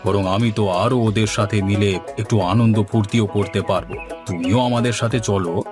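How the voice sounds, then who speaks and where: Genial village elder type for cartoon, male actor, low register, clear music bed. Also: steady tone, normal speed, natural voice. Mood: cheerful. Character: genial village men, mainly easygoing middle-aged headman. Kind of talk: animated cartoon voice acting. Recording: music behind the voice.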